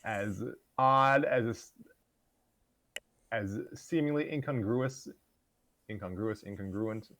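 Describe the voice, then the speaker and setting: Droll, tired man with a lowish voice. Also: takes it slowly, pauses often, fairly bright tone, slight background noise. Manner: droll, low-energy, hesitant. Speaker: host of an online story-reading show. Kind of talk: casual livestream chat.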